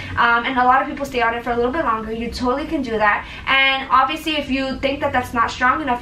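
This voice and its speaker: A bright-voiced young woman chatting straight to camera in an earnest, filler-heavy way. She sits a little back from the mic, so her voice rings around a bare, echoey room with a faint hiss of ambience under it. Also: high-pitched, far from the mic. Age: young adult. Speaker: vlogger. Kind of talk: casual advice vlog.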